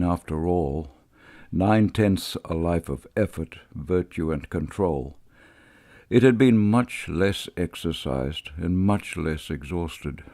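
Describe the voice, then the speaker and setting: A man voicing a brooding Victorian gentleman doctor in an audiobook, confessing with formal, deliberate reflection. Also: low-pitched, exaggerated voice.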